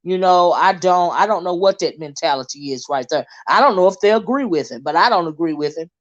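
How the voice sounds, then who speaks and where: A woman's voice: lowish voice, expressive, brisk. A woman hosting her own commentary stream, talking bluntly and emphatically, circling back on her points with plenty of fillers. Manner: blunt, emphatic, repeats herself with many fillers. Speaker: commentary channel host. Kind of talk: live-stream commentary monologue. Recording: dull lo-fi stream audio.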